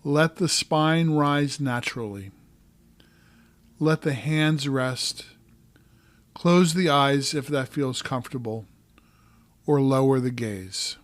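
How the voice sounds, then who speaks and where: A man narrating a guided meditation as a coach, giving calm, gentle instructions in short, measured phrases. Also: mid-range voice, no room sound.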